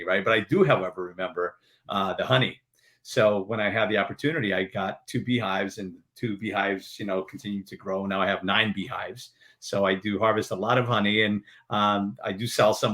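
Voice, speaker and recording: A man from Portugal, a guest on a podcast, telling stories in a friendly, relaxed way. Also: on the low side. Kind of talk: casual interview chat.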